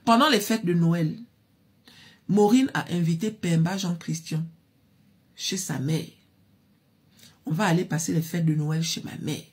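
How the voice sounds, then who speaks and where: A woman's voice: on the low side, measured pace, long pauses, darkish tone, breathy edge. A woman narrating a story in a chatty, casual way, talking directly to her listeners. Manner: chatty, addresses listeners as "mes chéris".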